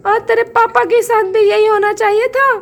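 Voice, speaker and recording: A spiteful middle-aged aunt mocks and scolds from the start of each line, played by a woman. The voice is very high and sharp-tongued, full of scorn. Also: steady tone, normal speed. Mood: taunting.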